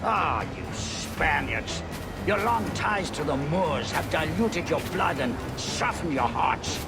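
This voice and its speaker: An actor playing a vain, mercenary old slave trader in a video game cutscene, talking in a flowery, haughty way. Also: male voice, a little high, sing-song, takes it slowly. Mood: disdainful. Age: older. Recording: loud music behind the voice.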